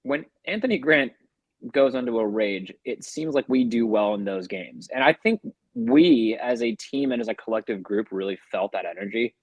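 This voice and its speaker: A man's voice: medium pitch, sing-song, normal speed, creaky, no room sound. A man co-hosting a sports podcast, talking through a game in a casual, colloquial, conversational way.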